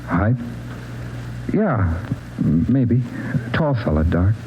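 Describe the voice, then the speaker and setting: An American actor playing a jittery, evasive addict drifter who stammers and repeats questions back, in a 1940s radio crime drama. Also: male voice, fairly low pitch, big pitch swings, slow pace. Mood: defensive, rattled. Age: 30s. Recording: muffled, noisy old radio recording.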